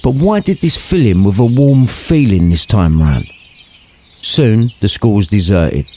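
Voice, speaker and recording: A man's voice: on the low side, lively intonation, unhurried, mellow. A man narrating a scripted story in an irreverent way, with cheeky, sweary asides to the listener.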